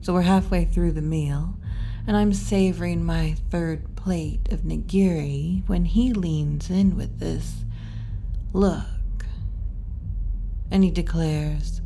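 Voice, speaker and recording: A muscular tomboy wolf girl tending bar, acted by a woman in an ASMR roleplay. She talks slowly in a dark, relaxed tone, teasing and kindly, close to a whisper at times. Faint ambient noise sits behind her. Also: on the low side. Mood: wry storytelling. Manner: laid-back, wry, intimate, often dropping to a whisper. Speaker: roleplay performer. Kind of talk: ASMR roleplay, addressing the listener.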